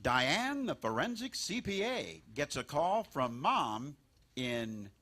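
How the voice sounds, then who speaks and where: A man announcing a mock radio serial with a dramatic, deliberate delivery in a live stage recording. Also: fairly high pitch, sing-song, bright, forceful tone.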